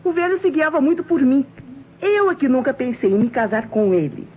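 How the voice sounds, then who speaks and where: High-voiced, brazen Brazilian showgirl. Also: big pitch swings, brisk. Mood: scornful. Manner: mocking, slangy, teasing. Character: brazen, sarcastic young showgirl murder suspect. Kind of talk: old Brazilian radio detective drama acting. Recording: muffled, noisy old radio recording.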